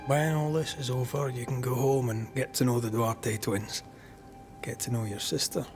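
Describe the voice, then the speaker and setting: An actor plays a gentle, devoted man who hunts ghosts in a dramatic video game. He sounds thoughtful and earnest, with a measured, middle-pitched voice. Clear music runs underneath. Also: fairly even intonation, close to an everyday voice. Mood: tender, concerned.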